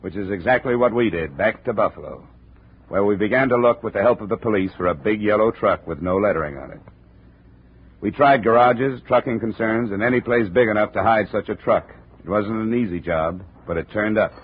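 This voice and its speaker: An actor playing a brisk, dry American insurance investigator who also narrates a 1950s radio drama. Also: male voice, on the low side, slightly rough. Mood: dry, narrating. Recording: narrow, muffled old radio recording.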